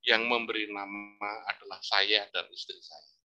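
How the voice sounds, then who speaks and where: Bright-voiced older professor telling stories. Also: male voice, fairly low pitch, normal speed. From Indonesia. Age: 60s. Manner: storytelling, lively rising emphasis, religious exclamations. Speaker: psychology professor giving a talk. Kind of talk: informal religious study lecture.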